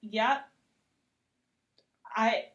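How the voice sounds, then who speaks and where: Expressive American woman in casual chat. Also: a little high, very slow, highs cut off. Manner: informal, expressive, frequent "like". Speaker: guest, TV critic.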